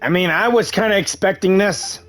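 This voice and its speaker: A man giving casual, slangy, excitable commentary as he plays through a video game. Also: high voice, fairly quick, music in the background. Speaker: gamer streaming a playthrough.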